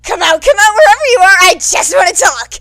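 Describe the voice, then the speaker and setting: A woman's voice: extremely high pitch, very animated, brisk, dry recording, voice pushed up, exaggerated voice. A woman voicing rioting students who bellow angry, explosive protest chants in a fan fiction audiobook. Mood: riotous yelling. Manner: shouting, explosive.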